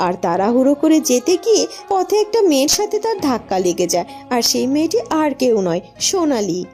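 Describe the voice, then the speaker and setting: A woman's voice: sits high, very animated, a little fast, dark tone, faint music behind. A woman reading scripted storybook narration as the narrator of a tale.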